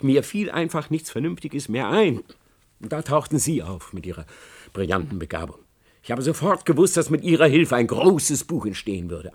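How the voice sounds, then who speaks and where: A man playing a cornered, scheming middle-aged university professor in a German radio play, speaking in formal, dramatic phrases. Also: mid-range voice. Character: cornered, scheming male university professor, pleading yet indignant.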